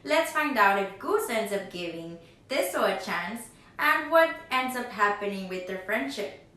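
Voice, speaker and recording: A woman's voice: medium pitch, expressive, a little slow, sharp tone, very echoey, far from the mic. A woman teacher talking to young children as she hosts a read-aloud, often asking them questions directly. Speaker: teacher reading picture books aloud for children.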